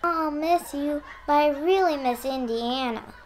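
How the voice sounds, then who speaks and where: High, unhurried excited little kid, played by a performer. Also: female voice, slight background noise. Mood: wistful. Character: excited young child at Christmas. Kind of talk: radio play acting.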